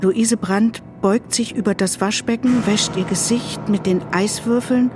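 A woman reading scripted audio-drama narration in a measured, matter-of-fact way, like stage directions. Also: medium pitch, fairly even intonation, noisy background.

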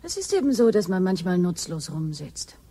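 A woman's voice: fairly low pitch, big pitch swings, normal speed, mellow, slightly rough. A woman playing a sensible, reproachful spaceship companion in a German radio play, patient and matter-of-fact. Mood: firm coaxing.

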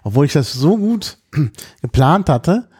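Ein Mann, der in einem Podcast locker und mit vielen Füllwörtern von einer Reise erzählt. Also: male voice, fairly high pitch, big pitch swings, slow pace, darkish tone, breathy edge. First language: German. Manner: chatty storyteller, many fillers. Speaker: podcast host. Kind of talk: casual chat.

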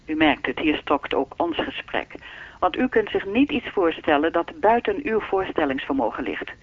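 A man's voice: fairly high pitch, sing-song, moderate pace, no echo. A man playing an enigmatic, all-knowing keeper of time in a radio play, speaking formally and teasingly through a telephone filter. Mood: formal, unruffled. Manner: formal, lecturing, unruffled, faintly teasing. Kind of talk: radio drama dialogue. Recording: thin, dry telephone-line sound.

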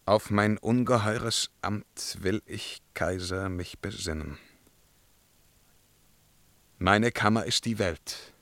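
An imperious, brooding emperor in a verse radio play. The voice is forceful and ringing, slow and weighty, recorded dry and close. He declaims grandly, then sinks into tormented, hushed reflection. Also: fairly low pitch, gravelly, no room sound, full character voice. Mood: grand, commanding. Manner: declamatory, swinging between command and hushed brooding.

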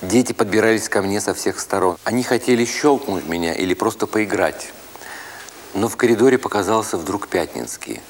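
A man reads a first-person literary story as a dramatic narrator, with expressive, deliberate phrasing. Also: on the low side, slight background noise. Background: music.

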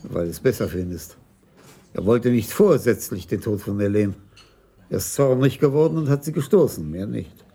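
An actor playing a patient, insistent police commissioner in a radio play, speaking in a measured, persuasive way. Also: male voice, fairly low pitch, lively intonation, warm tone, husky. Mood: persuasive, firm.

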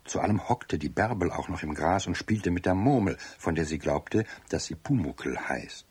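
A calm storybook narrator for a children's radio play. His voice is deep and very husky, with measured scripted phrasing. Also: full character voice. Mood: calm, storytelling.

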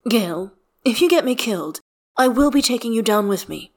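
A woman's voice: fairly high pitch, animated, fairly quick, pitched above the performer's own voice. A woman voicing a guarded, sardonic man in a story, with biting, mistrustful delivery. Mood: peeved.

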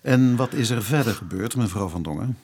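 Police inspector, Dutch accent, husky, mid-pitched with varied intonation, measured, formal, sympathetic, radio play. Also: male voice, lively intonation, darkish tone. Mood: probing. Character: sympathetic but dutiful male police inspector. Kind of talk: naturalistic radio drama acting.

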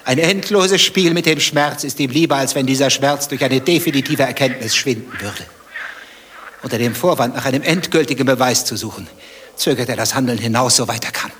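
An actor playing a brooding, bitter betrayed husband in a radio play, speaking in terse, probing, sardonic lines. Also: male voice, mid-range voice, fairly quick, fairly bright tone, slight background noise, close to an everyday voice. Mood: bitter philosophising. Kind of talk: German radio play dialogue.

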